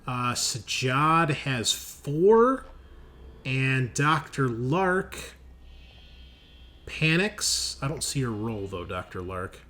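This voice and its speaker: A man's voice: medium pitch, very animated, drawn-out words, pushed and bright. A man casually running a tabletop roleplaying game as game master, explaining rules in a conversational way. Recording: faint music behind the voice. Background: faint music.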